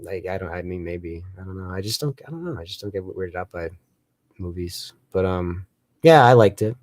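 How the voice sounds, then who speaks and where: A man with a Dutch accent casually discussing films as a panelist, in a halting way that often repeats itself. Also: low-pitched, animated, a little mellow. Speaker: film review panelist.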